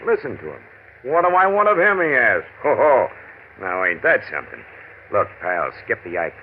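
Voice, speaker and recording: A man's voice: on the low side, very animated, normal speed. An actor playing a menacing, sarcastic American outlaw gunman in an old radio mystery, with slangy, taunting tough-guy talk. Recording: scratchy, tinny old radio recording.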